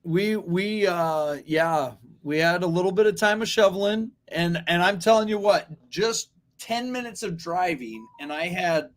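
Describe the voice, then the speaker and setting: A man's voice: sits high, measured pace, hardly any pauses. An American man co-hosting a morning show, chatting casually in an easygoing, good-humored way. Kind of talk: casual chat.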